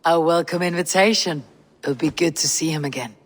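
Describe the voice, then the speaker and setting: An actress playing a steady, bold and wry Norse warrior leader in a video game, measured and plainspoken. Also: female voice, deep voice. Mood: curious, pleased. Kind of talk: dramatic video game acting.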